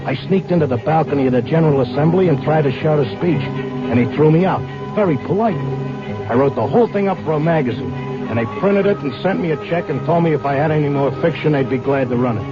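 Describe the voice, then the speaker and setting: An actor playing a wisecracking, stubborn American racing tipster in a radio drama, talking and narrating in plain slang. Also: male voice, mid-range voice, lightly acted. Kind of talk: mid-century radio drama acting and first-person narration. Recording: dull vintage radio recording with music.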